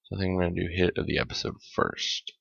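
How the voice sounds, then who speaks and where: A man hosting a casual solo podcast with a hoarse voice, rambling and correcting himself as he talks, with small jokes along the way. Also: low-pitched, completely flat delivery, quick pace, fairly bright tone, muffled recording. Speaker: podcast host.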